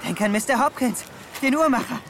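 An actor playing a bold, excitable young boy in an audio drama. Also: mid-range voice, brisk, a little hiss or hum, natural voice. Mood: earnest. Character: Johnny, bold adventurous young boy, whispering bravado. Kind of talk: German audio drama acting.

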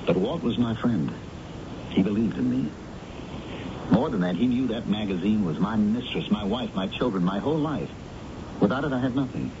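An actor playing a bitter, lovelorn, defeated middle-aged editor with an American accent, theatrical and sardonic, in 1970s radio drama style. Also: male voice, on the low side, normal speed, long pauses, close to an everyday voice. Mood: wounded, bitter. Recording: muffled, noisy old radio broadcast recording.

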